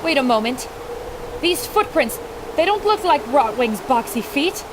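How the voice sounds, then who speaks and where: Woman playing a high-voiced, plucky radio reporter. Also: very animated, a little slow. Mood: worried. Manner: earnest, descriptive, theatrical. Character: plucky, dramatic female radio reporter on an expedition. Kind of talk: old-time radio adventure acting. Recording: loud storm and ambient noise.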